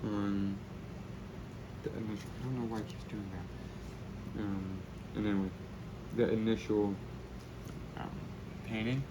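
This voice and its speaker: Low-voiced young man chatting while painting. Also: steady tone, a little slow, pauses often, background noise. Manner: rambling, low-key, self-deprecating. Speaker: spray paint artist. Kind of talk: casual commentary while painting.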